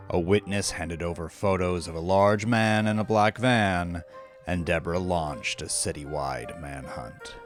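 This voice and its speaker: A man announces and narrates a horror audiodrama. He speaks slowly in a very low voice, with deliberate, theatrical phrasing. Music runs beneath his scripted delivery. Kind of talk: scripted recap and story narration. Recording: music under the voice.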